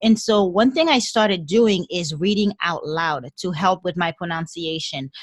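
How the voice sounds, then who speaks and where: A woman from Haiti with a Haitian accent, a podcast guest talking in a lively way and often repeating words as she gathers her thoughts. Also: lowish voice, a little slow, dry recording. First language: French and Haitian Creole. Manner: animated, repeats words while thinking. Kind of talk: casual interview conversation.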